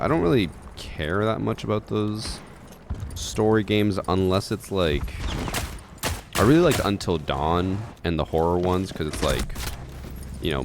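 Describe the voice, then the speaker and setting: A man with an extremely deep, gravelly voice talks calmly and without excitement while he plays a shooter live. Loud gunfire and clicks from the game are heard clearly behind him. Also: noisy background. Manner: calm, unexcited. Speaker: streamer. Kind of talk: casual gameplay commentary with chat.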